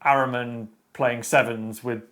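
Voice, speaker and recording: A man's voice: medium pitch, little pitch movement, a little slow, a bit bright. A man hosting a casual book review chat, explaining his opinions as he goes. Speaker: show host.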